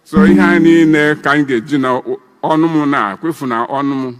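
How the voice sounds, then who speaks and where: A man's voice: a little high, brisk, clear, resonant tone, in a large hall. A man in his eighties with a Nigerian Igbo accent, giving a ceremonial speech as an elder breaking kola nut. He rambles and repeats himself. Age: 80s.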